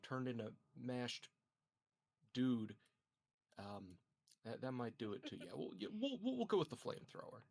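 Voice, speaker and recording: A man's voice: mid-range voice, no room sound. A man chatting casually as a tabletop game player, hesitantly thinking aloud.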